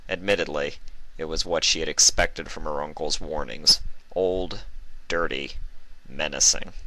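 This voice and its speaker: A man's voice: rumbling low, bright, forceful tone, low-quality recording. A man reading a story aloud in a scripted narration style. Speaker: fan fiction narrator. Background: music.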